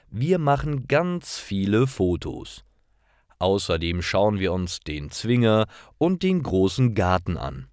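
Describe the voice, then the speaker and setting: Male narrator for learner stories: unhurried, lively intonation, deliberate delivery, dry close sound. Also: sits low, no echo. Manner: clear, deliberate storytelling for learners. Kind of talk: scripted story narration for language learners.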